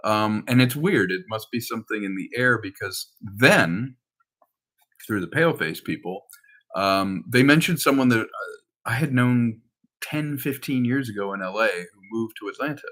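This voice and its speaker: A man's voice: on the low side, expressive, normal speed, a bit bright. A man talking casually and in a rambling, anecdotal way about his work as a filmmaker. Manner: rambling, anecdotal, acts out quoted dialogue. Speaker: film director and screenwriter. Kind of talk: casual conversational update about projects.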